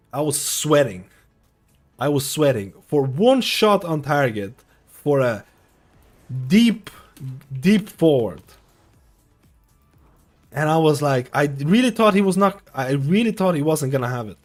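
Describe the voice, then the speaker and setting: A man in his thirties from Lithuania, a streamer chatting casually with his viewers, rambling and repeating himself with lots of fillers. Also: on the high side. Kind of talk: casual live-stream chat. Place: home streaming room.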